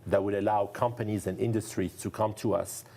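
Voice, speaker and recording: A man's voice: lowish voice, fairly even intonation, a little fast, gravelly, mellow, slightly rough. A man from France with a French accent, a neurologist guest speaking earnestly and enthusiastically in a TV studio interview, repeating phrases for emphasis.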